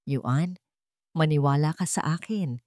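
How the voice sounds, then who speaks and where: A woman's voice: low voice, a little slow, a little mellow, dry recording. An anguished, loving mother from a melodramatic story, acted by a woman narrator. She speaks emotionally and pleadingly, in a very dry recording. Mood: desperate pleading.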